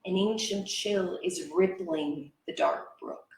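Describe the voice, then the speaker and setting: A woman reciting poetry as a dramatic reader, with measured, expressive and deliberate phrasing. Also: fairly low pitch, heavy background noise. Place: indoor library event room. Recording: echoey room, live reading.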